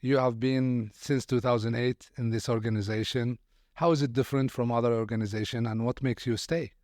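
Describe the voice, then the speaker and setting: A man's voice: medium pitch, steady tone, brisk, a little mellow, no echo, studio quality. A man hosting a podcast interview, courteous, often repeating words as he searches for phrasing. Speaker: podcast host.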